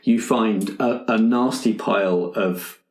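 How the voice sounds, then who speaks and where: Male game master, lower register, describes scenes directly to players, recorded with noticeable room echo. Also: slow pace, a loud crowd. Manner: descriptive, addressing players as you. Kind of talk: improvised narration of a tabletop roleplaying game. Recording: roomy, echoing group recording.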